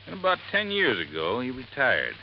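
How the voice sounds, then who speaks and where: An actor playing a plain-spoken, deliberate lake resort manager in a 1950s radio drama. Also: male voice, medium pitch, wildly swooping pitch, vocal fry. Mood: matter-of-fact. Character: folksy, unhurried male lake resort manager. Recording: muffled, faintly noisy vintage radio recording.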